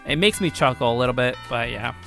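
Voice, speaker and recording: A man with a bright, forceful voice at a middling pitch talks casually as a game creator showing his work. He rambles and corrects himself, with faint music behind him. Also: lively intonation, fast talker, gravelly. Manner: rambling, informal, self-correcting. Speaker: game creator and video host.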